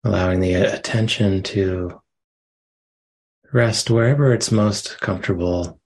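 A man leading a guided meditation as a teacher, giving soft, gentle instructions with long pauses. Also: low-pitched.

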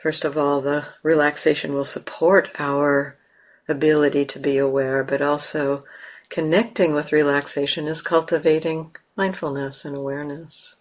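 A woman with an American accent, a meditation teacher leading a guided meditation slowly and softly, with long pauses. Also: sits low. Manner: slow, soft, calm, with long pauses. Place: meditation hall.

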